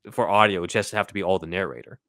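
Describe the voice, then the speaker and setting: A man with a low, crisp-edged voice hosts a podcast. He explains things casually and conversationally, in a very dry recording. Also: fast talker, a bit bright, no echo. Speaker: podcast host.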